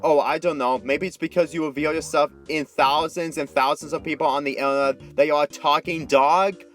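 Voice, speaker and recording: A stern, anxious teenage girl from a fan fiction story, put on by a man reading aloud. The pitch sits raised, and the delivery is scolding and exasperated. Music plays behind. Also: fairly even intonation, normal speed, a bit bright, natural voice.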